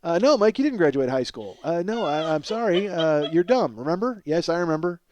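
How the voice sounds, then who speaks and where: A man's voice: fairly high pitch, animated, a little fast, muffled recording, background noise. A middle-aged man hosting a comedy podcast in a casual, rambling monologue, swearing freely and poking fun at himself. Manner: profane, self-deprecating, exclamatory.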